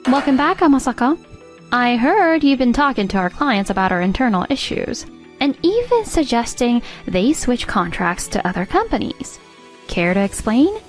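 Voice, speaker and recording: A woman's voice: on the high side, sing-song, moderate pace. A woman playing a stern, commanding company boss in an anime-style dub, with a pointed, authoritative manner. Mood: coolly confrontational. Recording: music bed behind the voice.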